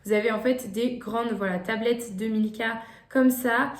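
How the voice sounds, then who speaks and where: A young woman chats through gift ideas, stumbling over fillers and restarting her sentences. Her voice sits a little high. The room around her is echoey. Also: fairly even intonation, unhurried. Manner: hesitant, many "euh" fillers and restarts. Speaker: vlogger presenting gift ideas. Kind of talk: casual chat to camera.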